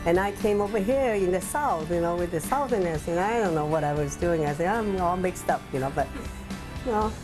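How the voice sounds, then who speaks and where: A woman with a Hong Kong Chinese accent talks about her restaurants in a low, halting voice. She fills her pauses with "you know" and "um". Soft music plays faintly under the interview. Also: lively intonation, poor audio. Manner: halting, many fillers. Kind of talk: documentary interview.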